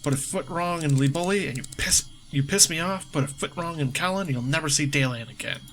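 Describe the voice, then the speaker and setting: A man's voice: on the high side, brisk, a bit bright, a little hiss or hum, close to an everyday voice. A man voicing a tough, cold female squad commander who gives clipped, bossy orders, reading game dialogue aloud. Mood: commanding.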